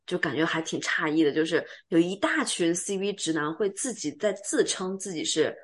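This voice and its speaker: A woman with a somewhat low voice chats casually as a podcast co-host. Her delivery is expressive and questioning. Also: moderate pace. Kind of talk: casual chat.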